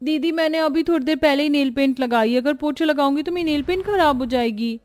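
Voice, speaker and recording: A woman's voice: sits high, a little fast, a little mellow, faint music behind, pitched above the performer's own voice, natural voice. A woman voicing a demanding younger female relative in a cartoon, complaining and pleading in a whiny way. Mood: whiny excuse.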